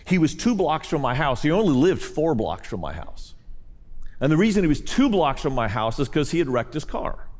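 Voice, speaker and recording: A man's voice: on the high side, big pitch swings, moderate pace, darkish tone. A male guest preacher giving a sermon, telling stories with joking asides to the congregation. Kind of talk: sermon with storytelling. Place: church hall. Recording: long hall reverb.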